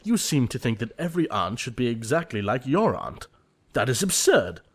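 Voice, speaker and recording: A man acting an earnest, easily exasperated young English gentleman in an audio play of a period comedy, formal and emphatic. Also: medium pitch, sing-song, normal speed, breathy edge. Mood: defensive, impatient.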